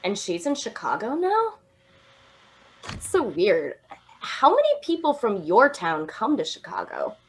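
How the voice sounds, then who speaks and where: A woman's voice: medium pitch, wildly swooping pitch, a little hiss or hum. A young actress playing a tipsy, giggly woman waiting for a late-night train in a radio drama. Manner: giggly, drawn-out tipsy delivery.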